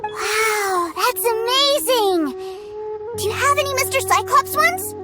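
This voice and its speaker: An eager, innocent little boy from a video game voiced by a woman, very high-pitched and bouncy. He sounds earnest and childlike, with prominent music underneath. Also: expressive, slow, full character voice. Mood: excited wonder. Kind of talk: scripted video game character acting. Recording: music behind the voice.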